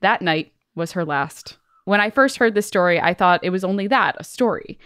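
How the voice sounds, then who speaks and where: A woman's voice: on the low side, animated, normal speed, a bit bright, clean tone, no echo, clean studio sound. A woman co-hosting a podcast, reading listener stories aloud and chatting in a casual, colloquial way. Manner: colloquial, peppers speech with "like". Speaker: podcast co-host.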